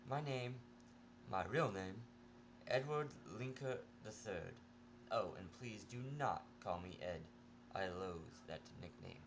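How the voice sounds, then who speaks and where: A man's voice: on the low side, slow pace. A man voicing a sarcastic, irritable male patient locked in an asylum, in an amateur story reading with background noise. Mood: irritated.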